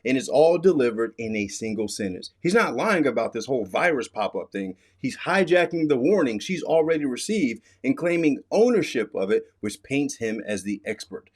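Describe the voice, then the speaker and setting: American guy doing explainer commentary, super lively pitch, emphatic, teaching you stuff. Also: normal speed, hardly any pauses. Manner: emphatic, instructive, repeats key warnings. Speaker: host, cybersecurity expert.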